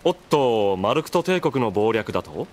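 Man playing a sly, urbane middle-aged gentleman. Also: medium pitch, sing-song, normal speed, natural voice. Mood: coolly inquiring. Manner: polite, glib, mock-playful. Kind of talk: Japanese anime audio drama acting.

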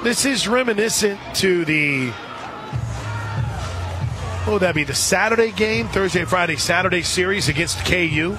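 An American man doing live radio play-by-play commentary of a softball game. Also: high-pitched, normal speed, long pauses. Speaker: radio play-by-play sports announcer. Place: outdoor stadium broadcast position. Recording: crowd noise and music behind commentary. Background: stadium crowd and music.

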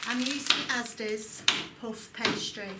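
Calm woman teaching cooking in echoey kitchen. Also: fairly high pitch, slow pace, distant mic. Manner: calm, clear, instructive. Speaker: cooking instructor. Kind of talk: step-by-step cooking demonstration. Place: large college kitchen classroom, indoors. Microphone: distant camera mic. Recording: echoey room, voice from afar. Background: kitchen clatter and room noise.

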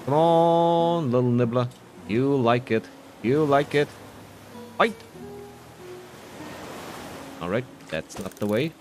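A man's voice: mid-range voice, darkish tone, soft background music. A man giving casual, playful playthrough commentary on a video game, often musing to himself. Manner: playful, self-talking, drawn-out musing. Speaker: video game player and narrator.

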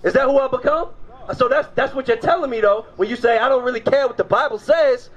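A man in his thirties street preaching outdoors into a handheld mic, forceful and questioning, often asking whether he is understood. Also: very high voice, quick pace, dull audio. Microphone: handheld mic through a speaker.

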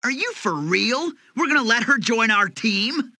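A male actor playing a brash, hot-headed young half-demon warrior in an anime game, gruff and combative. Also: extremely high pitch, lively intonation, measured pace, pushed and bright, dry recording, clean studio sound, exaggerated voice. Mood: indignant. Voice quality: rough, gravelly.

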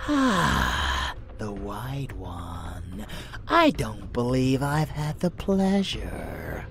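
A man's voice: medium pitch, huge pitch swings, drawn-out words, vocal fry, a bit bright, a little hiss or hum, exaggerated voice. A man voicing a rebellious, cocky vampire lover in an ASMR roleplay, with a casual, teasing, intimate manner. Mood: sly. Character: cocky young-sounding rebellious male vampire lover, unhinged. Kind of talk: ASMR roleplay audio drama.

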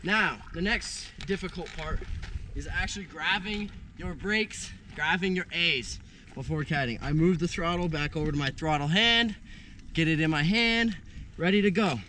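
A young man with a high, bright voice walks through a hands-on outdoor demonstration on a gravel field. He talks with punchy energy, snapping out quick exclamations between steps, while faint wind noise sits under the recording. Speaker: paramotor instructor.

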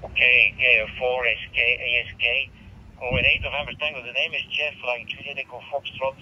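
A man's voice: medium pitch, flat delivery, normal speed, slight background noise. A Belgian man with a Flemish accent, Dutch his first language, chatting over a ham radio link. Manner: chatty, laughs between phrases. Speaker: radio operator. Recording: dull radio transmission.

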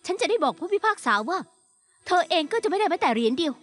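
A woman's voice: very high voice, very animated, quick pace. An actress playing a distraught, insistent young woman in a dubbed TV melodrama, pleading and emotional. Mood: indignant. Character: distraught, insistent young woman fighting for her father's inheritance.

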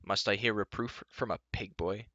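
A man's voice: lowish voice, moderate pace, bright, forceful tone, no echo, highs cut off. A man voicing a haughty, bitter nobleman warrior in a story, with a pompous, contemptuous manner. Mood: bitter contempt.

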